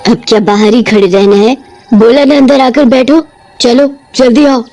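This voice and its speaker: A woman's voice: a little high, fairly even intonation, normal speed, dark tone, soft background music, lightly acted. A woman voicing a kind, firm female witch who teaches a class in a cartoon story.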